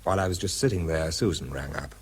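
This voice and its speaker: An actor playing a weary, wry wartime weapons researcher with a British accent, narrating and talking in a dry, understated way. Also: male voice, very deep voice, quick pace, a little hiss or hum. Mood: weary, matter-of-fact. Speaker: lead actor and first-person narrator. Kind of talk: radio drama narration and dialogue.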